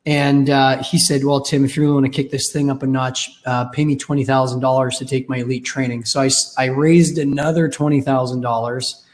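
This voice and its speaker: A Canadian man in his thirties with a raspy voice from a cold, telling his story candidly and wryly as a podcast guest. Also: medium pitch, little pitch movement, brisk, hardly any pauses. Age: 30s. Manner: candid, wry. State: has a cold. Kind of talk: casual interview storytelling.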